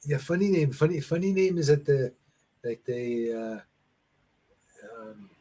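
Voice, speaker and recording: A man's voice: fairly high pitch, unhurried. A man from Canada in a casual online conversation lesson, telling rambling stories with many restarts over a video call. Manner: rambling, restarts and laughs, animated storytelling. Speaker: conversation partner.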